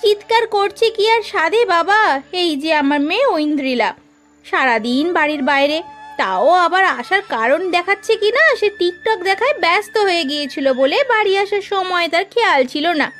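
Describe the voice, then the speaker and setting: Cartoon mom, woman voicing, very high and shrill, melodramatic, light music bed. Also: animated, normal speed, a bit bright. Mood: complaining. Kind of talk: cartoon voice acting.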